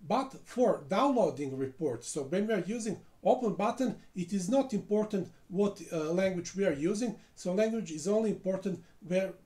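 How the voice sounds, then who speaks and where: Lively-pitched man narrating a software walkthrough. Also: moderate pace, hardly any pauses. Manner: step-by-step narration with frequent "uh" fillers. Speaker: software tutorial presenter. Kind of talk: screen-recorded software tutorial.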